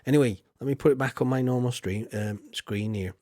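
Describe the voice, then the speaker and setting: A man's voice: mid-range voice, a little fast, a little mellow, no room sound, studio quality. A man hosting a livestream, chatting to viewers in a rambling, folksy way full of filler. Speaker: livestream host. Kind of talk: casual livestream chat to viewers.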